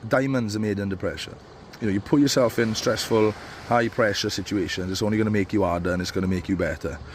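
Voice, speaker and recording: A man with a cold, giving casual, rambling advice to barbers from a beach. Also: lowish voice. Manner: rambling, with many fillers and restarts. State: stuffy with a cold. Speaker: barber coach. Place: outdoors on a beach. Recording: faint outdoor noise.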